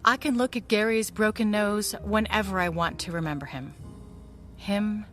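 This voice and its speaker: A woman's voice: medium pitch, moderate pace, fairly bright tone, lightly acted. A woman acting a wistful, guarded veteran ally of a vigilante in a pulp audio drama, speaking sincerely and reflectively. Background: music.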